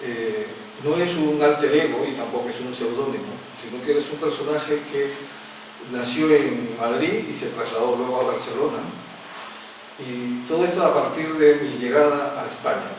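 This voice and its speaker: A middle-aged man from the Peruvian Amazon tells a story at his book launch, often stopping and restarting. His voice sits in the middle range. It is picked up from far away in a very echoey hall with clear background noise. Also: medium pitch. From Peru, Amazon region. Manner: halting, many restarts and fillers, anecdotal. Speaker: author presenting his book. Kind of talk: informal talk at a book presentation. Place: large echoey arts center hall.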